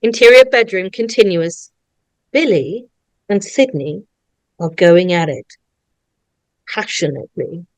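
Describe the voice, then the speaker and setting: A woman's voice: lowish voice, unhurried, pauses often, no room sound, poor audio. Woman narrator reading screenplay directions, dry recording. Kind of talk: reading screenplay stage directions at a table read.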